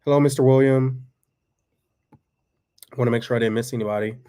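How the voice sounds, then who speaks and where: A man's voice: medium pitch, brisk. A man in his thirties, co-hosting a home livestream in casual, rambling chat. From Texas. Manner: relaxed and rambling, with many fillers. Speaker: livestream co-host. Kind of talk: casual chat reading viewer comments.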